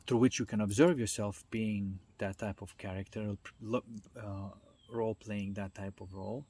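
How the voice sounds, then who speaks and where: A man with a fairly low, noticeably husky voice talks through ideas in an informal monologue. He explains things step by step in a halting way, often searching for the next word. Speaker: speaker on self-development.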